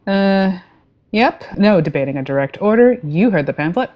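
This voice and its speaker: A woman playing a breezy, trigger-happy spaceship captain in a sci-fi comedy play, delivered with dry deadpan. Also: mid-range voice, moderate pace, muffled recording, close to an everyday voice.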